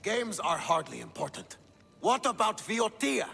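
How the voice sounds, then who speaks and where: An actor playing an impatient, dismissive Spartan king in a video game, with a creaky, sharp voice. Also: high voice, big pitch swings, unhurried, big-room sound, exaggerated voice. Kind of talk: video game dramatic acting.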